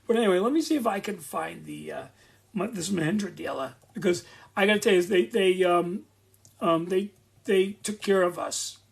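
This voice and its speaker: A middle-aged man chatting casually to his viewers, rambling from one story to the next and circling back with asides. His voice sits fairly high for a man, with a gravelly, creaky edge running through it. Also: normal speed. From Rhode Island. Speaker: host of a live Q and A.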